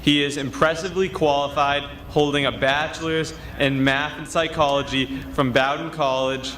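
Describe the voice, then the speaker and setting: Bright, echoey young man introducing a speaker. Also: on the high side, little pitch movement, moderate pace. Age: young adult. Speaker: student class officer introducing the principal. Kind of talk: formal speech of introduction. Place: large graduation ceremony venue, amplified speech. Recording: echoey public address with faint noise.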